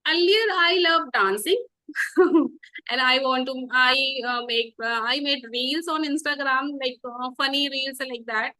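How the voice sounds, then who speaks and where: A North Indian woman with Hindi as her first language talks casually as an interview guest. Her voice is high and bright, recorded dry and close. Her learner's English comes haltingly, with a giggly manner. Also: no echo, low-quality recording. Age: 30s.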